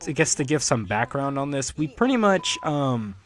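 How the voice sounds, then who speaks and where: A man giving a casual, unscripted solo commentary as a creator, in a rambling, halting way and often chuckling. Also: medium pitch, big pitch swings, soft background music. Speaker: fan dub creator, commentator.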